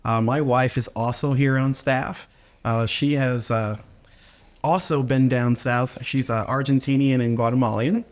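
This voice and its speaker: A man's voice: mid-range voice, fairly even intonation, a little slow. Hesitant man, ministry leader. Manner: hesitant, many "uh" fillers, wry. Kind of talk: casual interview chat.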